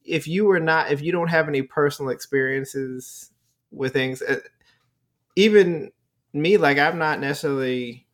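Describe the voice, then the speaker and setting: A man's voice: fairly high pitch, moderate pace, a bit bright. A man co-hosting a casual podcast chat, talking in a blunt, dry and unbothered way.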